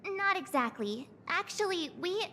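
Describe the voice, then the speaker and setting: A woman's voice: very high-pitched, expressive, a little slow, bright, forceful tone, a little hiss or hum, full character voice. An actress voicing a perky, earnest, slightly awkward young girl adventurer in a video game, with informal phrasing. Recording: background music under the voice.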